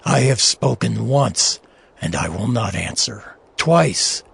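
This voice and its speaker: A man playing a humbled mortal who submits meekly to God, with a gravelly, husky voice and a halting, subdued delivery. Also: mid-range voice, lively intonation, fairly bright tone, heavily acted. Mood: humbled, submissive.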